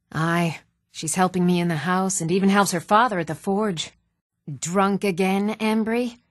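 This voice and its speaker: Game villager voiced by a woman: firm, motherly, hardworking townswoman, medium pitch, dry studio sound. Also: no room sound, close to an everyday voice. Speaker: video game voice actor. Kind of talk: scripted fantasy game dialogue.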